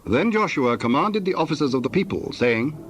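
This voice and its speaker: A man narrating scripture in a solemn, scripted storytelling style with a husky voice. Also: a little high, big pitch swings, a little fast, fairly bright tone, slight background noise.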